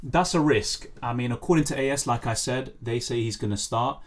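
A man with a hoarse, lost voice, casually chatting on a live stream and answering viewer questions over a faulty microphone. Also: mid-range voice, fairly quick. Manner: apologetic, rambling, answers chat questions. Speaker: fantasy football streamer. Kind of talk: casual live stream chat, reading comments.

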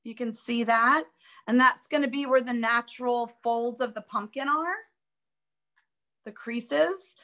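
A woman teaching a live online drawing class, chatty and upbeat, thinking aloud as she works, her voice a little raspy from allergies. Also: on the high side, unhurried, fairly bright tone. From Florida. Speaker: art instructor.

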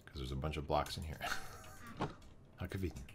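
Young male streamer, very low voice turning hoarse, casual think-aloud commentary at a desk mic, light keyboard noise. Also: moderate pace. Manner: thinking aloud while building, greeting chat viewers. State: losing his voice. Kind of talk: live gameplay commentary.

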